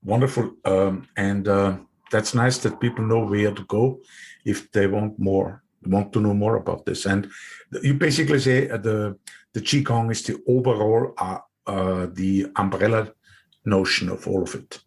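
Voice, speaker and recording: A man's voice: on the low side, normal speed, slightly rough. A man with an Austrian accent hosting a podcast interview, friendly and a little hesitant, with frequent fillers. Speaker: podcast host. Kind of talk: interview conversation.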